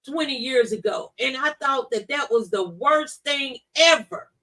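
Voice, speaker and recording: High, bright-voiced woman preaching emphatically. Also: animated, a little slow, recorded from a distance, poor audio. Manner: emphatic, preaching, repeats scripture phrases. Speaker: talk show speaker on faith. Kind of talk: conversational religious talk, testimony and scripture.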